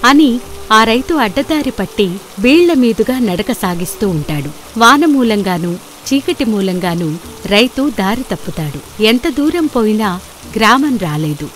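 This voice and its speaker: A woman's voice: fairly high pitch, big pitch swings, a little slow, slight background noise. A woman narrating a scripted folktale as a storyteller. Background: background music.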